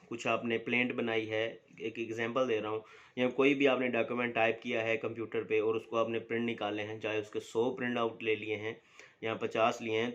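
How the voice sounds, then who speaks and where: A man's voice: mid-range voice, a bit bright. A man lecturing on law. He explains step by step, asks a question and then answers it himself. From Pakistan. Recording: dull lo-fi recording.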